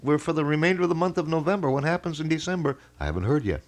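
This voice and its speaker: A man hosting a local radio talk show, speaking in wordy, measured and detailed explanations. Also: on the high side, animated. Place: radio studio.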